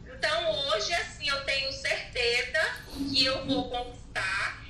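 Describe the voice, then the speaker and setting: A woman from Alagoas in Brazil, possibly, speaking slowly and haltingly with many pauses as a guest in a casual interview. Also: high-pitched, sharp tone, a little hiss or hum. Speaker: network marketing participant, guest. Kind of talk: hesitant conversational testimony. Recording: echoey distant mic with faint ambience.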